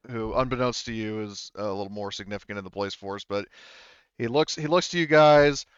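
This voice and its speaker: A man narrating a tabletop game as game master in a casual, improvised way, rambling and halting. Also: fairly low pitch, clear, resonant tone, dry recording, muffled recording.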